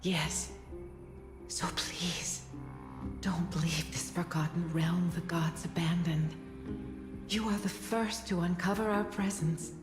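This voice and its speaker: Low, slow, solemn guardian spirit. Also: female voice. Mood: solemn pleading. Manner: solemn, formal, foreboding. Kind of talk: dramatic fantasy game voice acting. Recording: long echoing reverb, music underneath.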